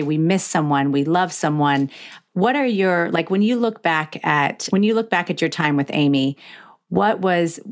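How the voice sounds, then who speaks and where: A middle-aged woman hosting an intimate interview, speaking candidly and reflectively with emphasis. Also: low-pitched. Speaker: podcast host.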